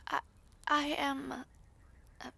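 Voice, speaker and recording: Woman acting a soft, high-pitched shy young maid. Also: little pitch movement, slow, vocal fry, no room sound, heavily acted. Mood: shy, apologetic. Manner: soft-spoken, hesitant, polite, formal. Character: gentle, modest young maid unused to noble attention. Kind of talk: romantic audio roleplay acting.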